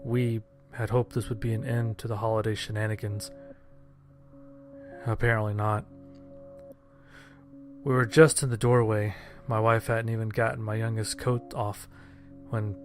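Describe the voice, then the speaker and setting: Male story narrator, lowish and flat, sardonic deadpan, quiet music bed. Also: unhurried, long pauses. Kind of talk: scripted first-person horror-comedy story narration.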